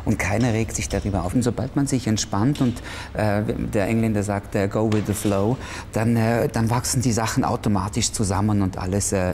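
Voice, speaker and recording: A middle-aged man with a low, mellow voice answers interview questions indoors, lively and full of fillers. He grew up in Switzerland in an Italian family. A faint hum sits behind him. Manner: animated, many fillers. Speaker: actor interviewed. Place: indoor room with red curtain.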